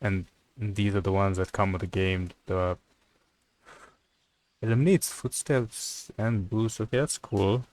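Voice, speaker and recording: Low-pitched man doing casual game commentary. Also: measured pace, darkish tone. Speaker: gaming streamer.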